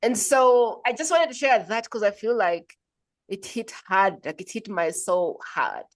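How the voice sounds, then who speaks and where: A woman from Uganda hosting a podcast interview, telling a personal story in an animated, emphatic way. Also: medium pitch, measured pace. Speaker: podcast host.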